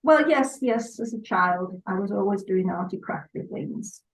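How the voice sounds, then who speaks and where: An older woman from Salford, England, chatting as a guest in an unhurried way with hesitant fillers. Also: medium pitch, lively intonation. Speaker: guest artist. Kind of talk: relaxed interview chat. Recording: dull lo-fi sound.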